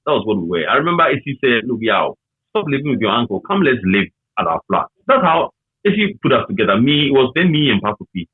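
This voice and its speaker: Phone-like, husky Ghanaian man reminiscing. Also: medium pitch, very animated, fast talker, no room sound. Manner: emphatic, repeats phrases. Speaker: interview guest, former radio worker. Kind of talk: casual reminiscing conversation. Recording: tinny, narrow phone-like line.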